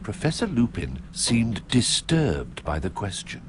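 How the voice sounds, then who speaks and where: A man giving scripted, measured storybook narration for video game cutscenes. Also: on the low side, huge pitch swings, noisy background.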